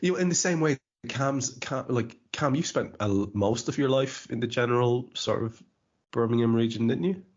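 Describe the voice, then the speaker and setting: A man's voice: mid-range voice, normal speed, a little mellow. A man with a mild Irish accent hosting a casual podcast chat, often stuttering over repeated words. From Ireland. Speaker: podcast host. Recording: dull lo-fi podcast audio.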